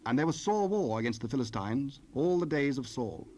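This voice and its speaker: Solemn male Bible narrator, medium pitch. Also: expressive, a little fast, warm tone. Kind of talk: scripted scripture narration. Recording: noisy cassette rip, ambient sound.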